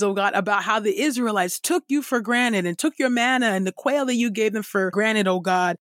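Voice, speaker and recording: A woman reading scripture and praying as a devotional host, with measured, reverent phrasing. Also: mid-range voice, no echo, studio quality. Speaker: devotional podcast host and Bible reader.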